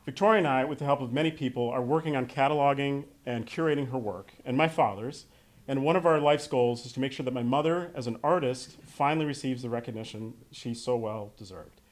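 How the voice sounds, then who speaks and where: A man's voice: mid-range voice, a little fast. Heartfelt American man giving a eulogy. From Western New York State, United States. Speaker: son giving opening remarks at a memorial. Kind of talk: prepared eulogy speech to an audience.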